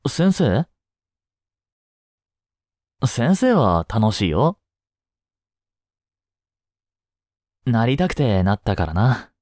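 A man acts out a kind, easygoing male teacher in an intimate voice drama. His voice is mellow and relaxed, and he talks slowly and reassuringly to one student. The recording is close and dry. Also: lowish voice, dry recording. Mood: gentle, thoughtful. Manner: gentle, unhurried, casual, reassuring with long pauses. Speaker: voice drama performer. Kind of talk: Japanese voice drama, one-sided intimate talk to the listener.

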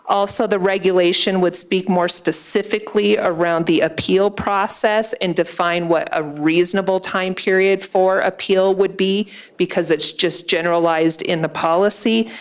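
Woman, middle-aged, deputy superintendent, lower voice, measured briefing, podium mic. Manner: measured, many "um" fillers. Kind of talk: policy briefing at a public meeting. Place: school board meeting room, standing at a podium. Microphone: podium microphone.

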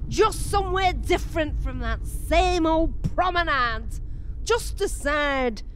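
A woman's voice: high-pitched, animated, slow pace, slight background noise. A woman acting a nagging, restless older wife on a car outing in a radio play. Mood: peevish. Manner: complaining, exclamatory. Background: car and vehicle sounds.

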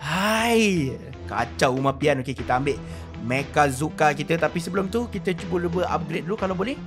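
A man with a Malaysian accent giving excited, animated gameplay commentary with roleplay, full of exclamations and drawn-out words. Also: on the high side, over music. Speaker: gaming YouTuber.

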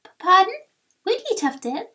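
A woman's voice: very high voice, big pitch swings, normal speed, bright, forceful tone, heavily acted. A woman voicing an eager young creature explorer in a video game, full of exclamations. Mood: surprised.

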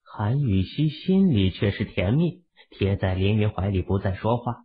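A man's voice: lowish voice, expressive, moderate pace, husky. A man narrating fiction from a script as an audiobook storyteller. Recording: phone-like, band-limited audio.

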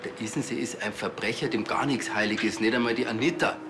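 An actor with a Bavarian accent playing a proud, obsessive rural tinkerer, boastful and earnest. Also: male voice, fairly low pitch, fast, noisy background, close to an everyday voice. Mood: resentful. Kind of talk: TV crime comedy acting.

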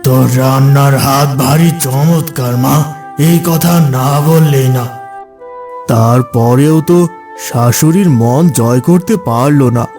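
A man's voice: medium pitch, slow pace, a little mellow, echoey room, faint music behind, lightly acted. Solemn wandering holy man played by a man. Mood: kindly, advising. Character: wandering tantric holy man, calm fatherly advisor. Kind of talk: cartoon voice acting.

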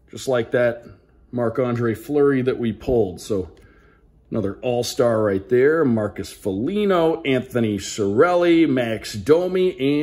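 An American man with a mid-pitched voice gives casual commentary while unboxing. He sounds like a sports broadcaster, calling out names crisply in a play-by-play manner. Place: indoors at a tabletop, small room.

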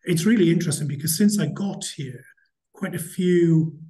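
A middle-aged man from Newcastle with a slight Geordie accent, a hotel chief executive giving a reflective interview answer over a video call from an office, speaking in a deliberately slowed, measured way with frequent restarts. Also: fairly high pitch, mellow. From Newcastle, England. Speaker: hotel company chief executive, podcast guest. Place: office indoors. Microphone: video call webcam mic.

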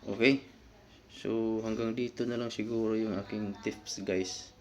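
A man with a fairly low voice talks in a casual, explanatory vlog style. He speaks informally and directly to his viewers, as if walking friends through a topic. There is faint ambient noise behind him. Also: level tone, a little slow, a little mellow. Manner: informal, explanatory, often addressing viewers as guys. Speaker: vlogger.

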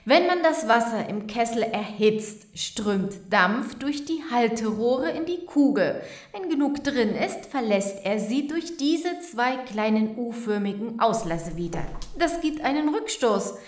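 A woman playing a sharp-witted, curious heiress in an audio drama, politely suspicious, thoughtful and quick to challenge. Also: fairly high pitch, lively intonation. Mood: confident, teasing. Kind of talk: German audio drama acting. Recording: roomy, echoing sound.

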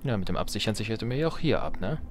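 A man's voice: on the low side, animated, rapid-fire, over music. A man doing casual gameplay commentary, thinking aloud and muttering playful, wry asides to himself. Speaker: let's player.

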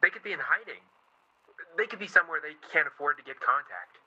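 A man acting an earnest, hopeful journalist in a wartime radio drama, speaking with hesitant pauses. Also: a little high, lively intonation, bright, forceful tone, slightly rough, highs cut off, heavily acted. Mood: worried. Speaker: actor in a radio play. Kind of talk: naturalistic radio drama dialogue.

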